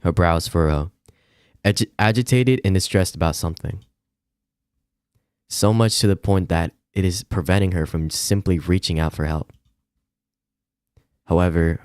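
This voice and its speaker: Male game streamer, very low register, casual read-aloud delivery with off-the-cuff remarks, dry recording. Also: normal speed, darkish tone, smooth, no echo. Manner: stumbles and comments while reading. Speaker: streamer playing and reading a visual novel aloud. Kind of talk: casual livestream chat and read-aloud narration.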